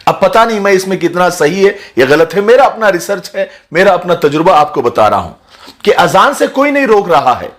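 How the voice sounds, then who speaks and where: A man giving an earnest, sermon-like commentary to his audience in a measured, emphatic manner. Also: on the high side. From India. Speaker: social commentator speaking to his video audience. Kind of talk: earnest explanatory talk, sermon-like.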